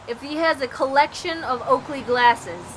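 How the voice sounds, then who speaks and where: A woman's voice: high voice, moderate pace, fairly bright tone, a little hiss or hum, poor audio. A young woman giving sarcastic, laughing commentary while playing a game with a friend. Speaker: let's play host. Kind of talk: casual gameplay commentary.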